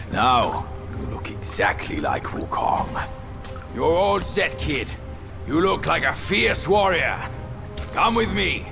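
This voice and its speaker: A gravelly, slow-talking guide. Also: male voice, a little high, very animated, music in the background, exaggerated voice. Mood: teasing. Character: gravelly male guide outfitting a young warrior. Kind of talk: game cutscene voice acting.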